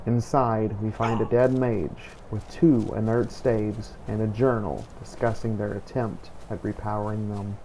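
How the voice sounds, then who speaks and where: Low, gravelly man narrating a fantasy journey. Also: little pitch movement, unhurried, hardly any pauses, dark tone, a little hiss or hum, rough recording. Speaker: video game playthrough narrator. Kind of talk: scripted in-character role-play narration.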